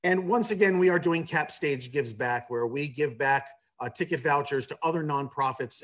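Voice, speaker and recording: A man giving an informal online presentation on a video call, hesitant, with frequent ums and restarts. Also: medium pitch. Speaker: theater staffer presenting subscription details.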